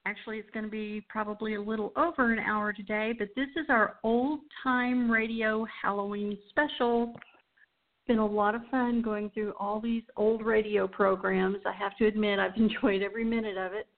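A woman hosts a radio show with casual, enthusiastic chat. Her voice sits at an average pitch and comes through thin and phone-like. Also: fairly even intonation, normal speed. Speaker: show host. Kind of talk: casual chat introducing old radio programs. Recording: tinny, phone-like band-limited sound.

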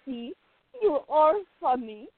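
A woman's voice: high-pitched, no room sound, heavily acted. A woman playing an outgoing, teasing gallery worker in a radio play, talking in a playful, emphatic way. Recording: tinny, phone-like narrow sound.

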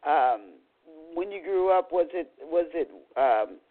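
A woman hosting book talk radio comes through a tinny, phone-like line. She talks in a chatty, animated way and often stumbles into restarts. Her delivery is upbeat and informal. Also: low-pitched, slow pace. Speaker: radio show host.

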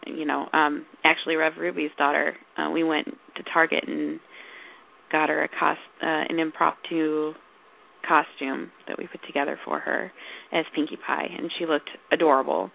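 A woman co-host talks in a loose, rambling way, full of ums and restarts. Her voice is gravelly and a bit hoarse, as if she is losing it. The sound is thin and phone-like. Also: deep voice, level tone, moderate pace. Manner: rambling, lots of fillers and restarts. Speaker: podcast co-host. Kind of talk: casual chat. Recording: tinny phone-like call audio.

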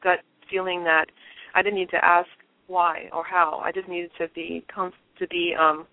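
A woman's voice: on the low side, little pitch movement, normal speed, no echo. A woman from Liberia, an interview guest, telling her own story in an earnest and reflective way. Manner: earnest, reflective, with frequent restarts. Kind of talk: personal testimony in an interview. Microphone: telephone. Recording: tinny dry phone line.

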